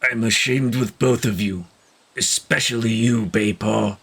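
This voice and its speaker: A senior cat mentor voiced by a man in an audio drama, stern and disappointed. He reprimands gravely in a creaky, middle-pitched voice. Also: fairly even intonation, a little slow, fairly bright tone, exaggerated voice. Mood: stern disappointment.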